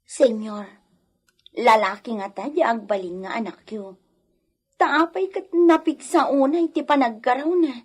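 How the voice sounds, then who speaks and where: An actress playing a worried, loyal household servant in a radio drama, anxious and deferential, with a Filipino accent. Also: female voice, on the high side, a little slow, breathy edge. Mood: anxious reporting. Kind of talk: Ilocano radio drama acting.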